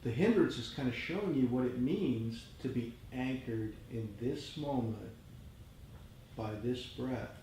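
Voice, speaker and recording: A heavily echoing room with faint background noise surrounds this man's voice. He speaks at a middling pitch as a participant in a meditation group. His delivery is that of a thoughtful comment in open discussion. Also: unhurried, mellow.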